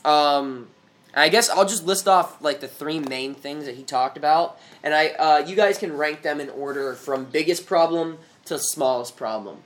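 A man's voice: on the high side, normal speed, a bit bright, slight background noise. A young man hosting a casual sports podcast, talking in a loose, joking, rambling way with plenty of fillers. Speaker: podcast host.

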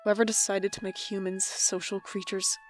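A woman's voice: mid-range voice, level tone, normal speed, faint music behind, lightly acted. A woman playing a guarded, wry young adult who is estranged from family, talking and narrating introspectively in an audio drama. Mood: reflective. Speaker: main character and narrator.

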